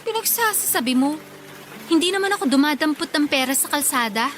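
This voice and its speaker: A woman's voice: high voice, animated, a little fast, slight background noise. A woman playing a dutiful but exasperated young working daughter in a radio drama, polite and firm.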